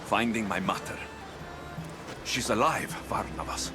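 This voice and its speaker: An actor playing a brooding, hot-tempered young mercenary warrior in a video game. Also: male voice, on the low side, measured pace, natural voice. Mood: guarded, brooding. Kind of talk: video game cutscene acting. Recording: background music under the voice.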